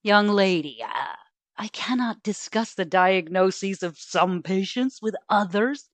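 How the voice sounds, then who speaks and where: A woman's voice: mid-range voice, expressive, slow pace, no room sound. A woman voicing a patronizing, flippant male doctor in a storybook, hemming and hawing as she talks. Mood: patronizing, amused. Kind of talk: storybook character voice.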